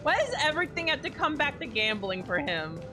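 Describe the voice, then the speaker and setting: A woman's voice: high voice, sing-song, fairly quick. A woman game streamer reacting live in a casual, sarcastic, exclamatory and sweary way. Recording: game music behind voice.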